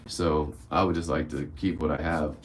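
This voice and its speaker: Low-voiced guy around 50 chatting on a livestream, blunt, rambly, cusses a bit. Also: little pitch movement, moderate pace, slight background noise. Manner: candid and blunt, rambling, swears casually. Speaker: livestream host answering viewer questions. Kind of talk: casual live-stream Q&A.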